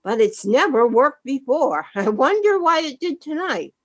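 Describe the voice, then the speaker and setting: A woman's voice: high-pitched, big pitch swings, measured pace. A woman playing a stern, spirited older grandmother who speaks firmly in an amateur radio play. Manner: firm, scolding.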